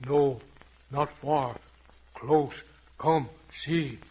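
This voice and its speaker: A man playing a loyal, wary African safari guide who speaks in halting broken English in an old radio adventure. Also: fairly high pitch, steady tone, dark tone, husky, heavily acted. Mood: alarmed, solemn. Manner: halting, clipped pidgin phrases, solemn. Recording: dull, muffled vintage radio recording.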